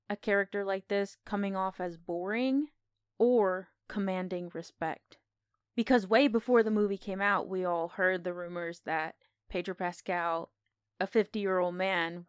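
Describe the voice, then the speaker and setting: A woman's voice: mid-range voice, clear, resonant tone, no room sound, studio quality. A woman co-hosting a podcast, casually discussing film in a conversational, opinionated way. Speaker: podcast co-host.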